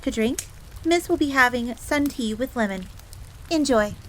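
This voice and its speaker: A woman's voice: high voice, lively intonation, measured pace, fairly bright tone, slight background noise. A woman voicing a jealous, easily flustered young fox maid in a roleplay audio drama, speaking formally and referring to herself in the third person, with rain behind her. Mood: haughty, reluctant. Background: rain on a surface.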